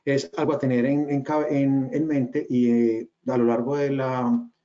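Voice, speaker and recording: Colombian man with a mellow, dull-sounding voice. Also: medium pitch, level tone, unhurried. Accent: Colombian regional. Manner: hesitant, many fillers and restarts. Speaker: webinar speaker, company cofounder. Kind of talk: webinar presentation. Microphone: video call webcam. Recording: slightly dull video call audio.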